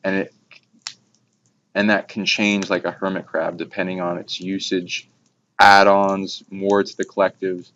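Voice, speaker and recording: A man's voice: low voice, flat delivery, a little slow, fairly bright tone. A man talking casually on spiritual matters in long, rambling, run-on sentences. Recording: dull lo-fi recording with background noise.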